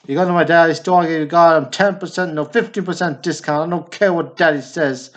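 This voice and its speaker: A man playing an excitable, needy, sentimental small-town in a stage drama, with a pleading, emphatic manner. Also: on the high side, fairly quick, lo-fi sound, lightly acted. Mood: pleading, effusive.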